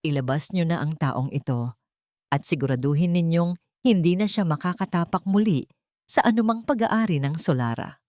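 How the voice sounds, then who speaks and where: A woman's voice: low-pitched, moderate pace, darkish tone, no echo, natural voice. A woman narrator voicing a wronged, wealthy businesswoman in an audio drama, dignified, composed and commanding. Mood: firm, commanding.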